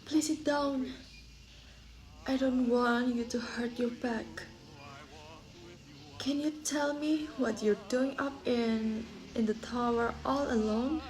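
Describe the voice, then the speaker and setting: A kind-hearted storybook princess, put on by a woman. Her voice is high and sweet, and she speaks slowly, with polite curiosity. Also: fairly even intonation, a little mellow, low-quality recording. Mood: polite, curious.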